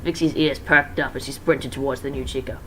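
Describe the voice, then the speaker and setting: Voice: medium pitch, fairly even intonation, fairly quick, pushed and bright. An amateur reader giving a casual dramatic reading, informal and stumbling, with self-correcting asides. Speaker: amateur fan fiction reader. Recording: noisy amateur home recording.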